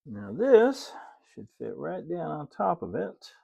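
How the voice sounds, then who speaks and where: A middle-aged American man giving a casual hands-on tutorial, unhurried and folksy, thinking aloud as he works. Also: fairly high pitch, wildly swooping pitch, gravelly, darkish tone, husky, muffled recording. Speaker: host, model railroad builder. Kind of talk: casual hands-on tutorial talk while working. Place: indoor hobby workshop at a cluttered workbench and layout.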